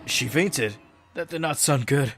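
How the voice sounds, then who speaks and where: A man's voice: medium pitch, measured pace, vocal fry, loud music behind the voice. A man playing a casual, questioning, easygoing teenage boy in an anime game fan dub. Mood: casual puzzled.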